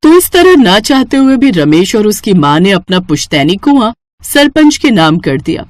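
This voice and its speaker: A woman's voice: medium pitch, very animated, moderate pace, no echo. A woman narrating a scripted moral story for children.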